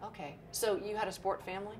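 A woman's voice: mid-range voice, steady tone, quick pace, a little hiss or hum. A woman hosting a TV interview, asking upbeat questions. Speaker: TV interview host.

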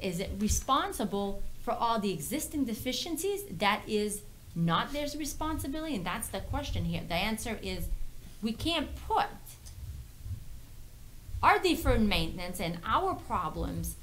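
A woman's voice: a little high, sing-song, moderate pace, fairly bright tone, poor audio. A middle-aged woman explaining things as a city official in a meeting room, insistently posing questions and answering them. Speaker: city official presenting to a planning board. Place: indoor municipal meeting room. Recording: faint noise, meeting room recording.

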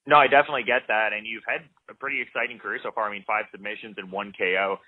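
A man's voice: fairly low pitch, fairly even intonation, very fast, no room sound. A male interviewer asking long, winding questions in a casual, wordy way. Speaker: interviewer, host. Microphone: studio mic with headphones. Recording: dry, tinny phone-like sound.

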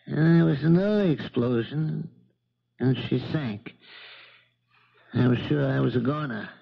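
A man's voice: mid-range voice, measured pace, vocal fry. A man acting an old charter boat captain in a 1970s radio drama, folksy and plain-spoken, shaken and indignant. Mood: grim recounting. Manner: folksy, ungrammatical working-man speech. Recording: muffled vintage radio broadcast recording.

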